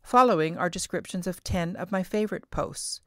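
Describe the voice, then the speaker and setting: A middle-aged woman reading scripted podcast narration as host, in a measured, carefully enunciated way. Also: fairly low pitch, dry recording, clean studio sound.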